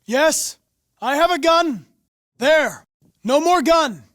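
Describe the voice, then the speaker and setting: A polite, determined young male android investigator for a video game. His voice sits fairly high for a man and swings widely in pitch. He speaks in formal, exact, controlled phrases, set on his police mission. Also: expressive, slow pace, fairly bright tone, no room sound, clean studio sound, heavily acted. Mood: tense. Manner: formal, precise, controlled diction.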